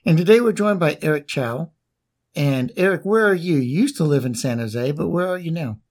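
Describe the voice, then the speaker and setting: A man's voice: fairly high pitch, animated, normal speed, a little mellow. An American man hosting a podcast, interviewing guests in a loose, conversational way. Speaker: podcast host.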